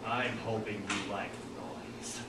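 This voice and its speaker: A man's voice: on the low side, steady tone, slow, heavy background noise, pitched below the performer's own voice. Guy playing a tough angry superhero woman, taunting, echoey room. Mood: threatening. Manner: taunting, defiant. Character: furious, feisty female superhero, defiant fighter. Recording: roomy, distant mic.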